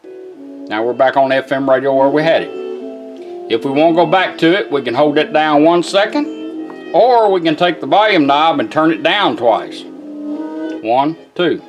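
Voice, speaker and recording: Casting: male product demonstrator, slightly high voice, folksy and informal, walking through features casually with faint music behind. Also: expressive, unhurried, long pauses, lo-fi sound. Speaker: product demonstrator, car radio builder.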